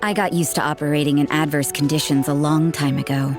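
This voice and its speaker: Cynical young nun for an anime game: low-pitched, cool, unimpressed, music behind. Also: female voice, steady tone, fairly quick. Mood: dry, unbothered. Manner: dry, aloof, languid. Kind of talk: anime-style video game voice acting.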